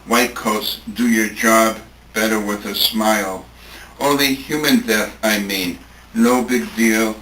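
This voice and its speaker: A man reading poetry aloud at a live event, deliberate and pausing phrase by phrase. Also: lowish voice, bright, forceful tone. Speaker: poetry reader at a tribute event. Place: live event room, recorded from a distance. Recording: echoey room, distant mic, faint noise.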